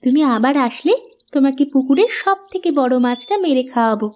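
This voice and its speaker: A woman's voice: high-pitched, animated, a little fast, a little mellow, dull audio. A woman voicing a kind, earnest young village housewife in a cartoon story. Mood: caring. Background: music.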